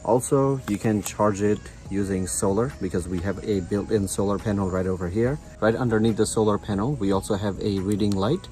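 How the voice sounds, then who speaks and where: Low, mellow-voiced man reviewing a product. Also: brisk, hardly any pauses, faint music behind. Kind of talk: casual product review, demonstrating features. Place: outdoors on a residential front porch.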